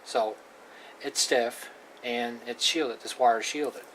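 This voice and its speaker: Male hobbyist presenter, unhurried and plain-spoken, casual hands-on demo over clearly audible noise. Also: mid-range voice, steady tone, a bit bright. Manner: plain-spoken, halting, repeats himself. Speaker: hobbyist presenter demonstrating radio equipment. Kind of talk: casual unscripted hands-on demonstration. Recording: clearly noisy amateur recording.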